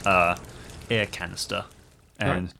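Male tabletop player, lowish pitch, relaxed improvised third-person narration, casual game-table delivery. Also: takes it slowly, creaky, a little hiss or hum. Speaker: tabletop role-play player. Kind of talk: casual improvised narration of his character's actions.

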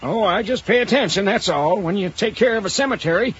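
An actor playing a folksy, easygoing cemetery caretaker with a rough, husky voice in an audio drama. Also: male voice, high voice, lively intonation, fairly quick, a bit bright, highs cut off, full character voice. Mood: friendly, relaxed.

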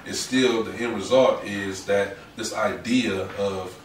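A man's voice: on the low side, steady tone, takes it slowly, slight background noise. A man hosting a podcast, talking through his opinions in a rambling way and often asking "you know what I'm saying". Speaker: podcast host. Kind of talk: casual opinionated chat. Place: podcast room. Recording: roomy room from a distance.

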